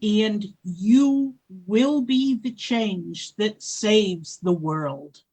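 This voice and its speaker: A woman playing a solemn, ageless female spirit who guides travelers, in a radio play, speaking in measured pronouncements. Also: mid-range voice, darkish tone. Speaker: wise spirit. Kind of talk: 1940s-style radio drama acting.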